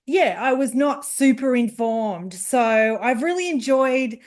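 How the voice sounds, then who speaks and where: A woman's voice: high-pitched, expressive, slow, darkish tone. A woman hosting a podcast interview in a casual, encouraging way, asking open questions. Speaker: podcast host.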